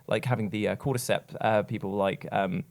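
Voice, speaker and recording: A man's voice: on the low side, fairly even intonation, fairly quick, creaky. A man talking casually and emphatically as a podcast panelist discussing films and games, often repeating words to press his point. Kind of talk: casual film and game discussion.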